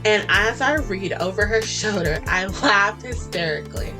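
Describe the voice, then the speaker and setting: A woman with a mid-range voice tells a romance story in the first person. Her delivery is expressive, flirty and sassy, like a storytelling podcast, and music plays softly behind her. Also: mid-range voice, normal speed. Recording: music bed under the voice.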